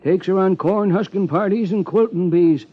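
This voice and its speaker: High-voiced small-town rustic lawman. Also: normal speed. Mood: sly, gossipy. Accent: American. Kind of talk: 1950s radio drama acting. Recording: muffled 1950s radio recording.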